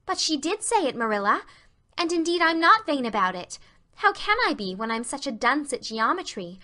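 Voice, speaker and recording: Woman acting Anne, bright gushing schoolgirl. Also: high-pitched, big pitch swings, moderate pace, voice pushed up, full character voice. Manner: gushing, dramatic. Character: Anne, earnest, romantic, effusive schoolgirl. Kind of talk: dramatized audiobook acting.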